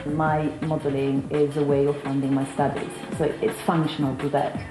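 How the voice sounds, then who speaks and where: A twenty-six-year-old woman from Italy, a model and student talking in a measured, matter-of-fact way. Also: low-pitched, little pitch movement, reverberant, music in the background, low-quality recording. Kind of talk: interview.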